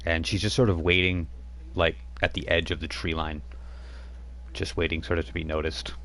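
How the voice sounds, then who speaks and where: A man's voice: deep voice, flat delivery, moderate pace, fairly bright tone. A man running a tabletop role-playing game as game master, narrating in a rambling way with many fillers.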